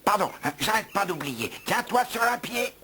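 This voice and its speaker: Theatrical grumpy house elf, swinging pitch. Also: male voice, sing-song, normal speed, bright, forceful tone, slight background noise, full character voice. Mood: impatient, instructive. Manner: theatrical, grumbling, wry. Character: grumpy gluttonous male house elf, reluctant guide. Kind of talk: animated film dub acting.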